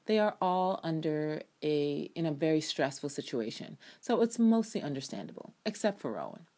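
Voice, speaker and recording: Low-voiced woman, animated and sardonic. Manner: opinionated, sardonic, profane; emphatic, theatrical delivery. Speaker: TV drama reviewer. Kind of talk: dramatic reading of own written review with casual ad libs.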